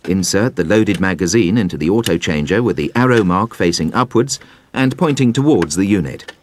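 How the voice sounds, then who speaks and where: A man reading scripted instructional narration in a measured, precise, explanatory way. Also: deep voice, lively intonation. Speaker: narrator of a car instruction video.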